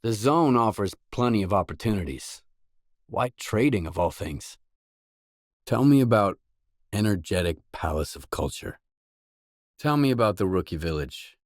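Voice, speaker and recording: Casting: male actor for pragmatic wasteland mercenary in a video game; lowish voice, dry close recording, restrained and matter-of-fact. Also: lively intonation, moderate pace, pauses often, no room sound, natural voice. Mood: matter-of-fact, guarded. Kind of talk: video game character dialogue.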